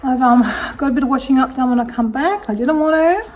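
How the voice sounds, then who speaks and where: A middle-aged woman with an Australian accent talks through her day in a rambling, easygoing way. Her voice sits fairly high and is a little croaky, and she breaks into soft laughs as she thinks aloud in her kitchen. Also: lively intonation, fast. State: slightly croaky voice. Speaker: vlogger. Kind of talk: casual day-in-the-life vlog narration. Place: home kitchen.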